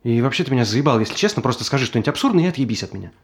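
Casting: an actor as a gravelly, irritable guy, swearing and impatient, lively pitch, in a stage play. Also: rapid-fire, close to an everyday voice. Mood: irritated. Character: irritable, bored young man, foul-mouthed.

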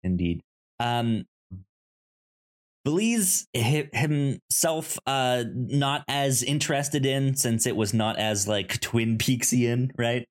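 A man co-hosting a podcast, discussing films casually in a halting, stammering way and often repeating words. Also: medium pitch. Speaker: podcast co-host.

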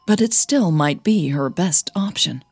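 A woman narrating scripted audio fiction in a measured, dramatic storytelling manner. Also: lowish voice, animated, a little mellow, husky, no room sound, faint music behind.

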